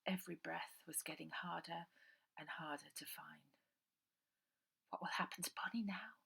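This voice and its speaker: A woman narrating her own children's novel in an expressive, suspenseful way, often dropping to a breathy near-whisper. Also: fairly low pitch, moderate pace. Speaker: author reading own novel. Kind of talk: dramatic children's audiobook narration.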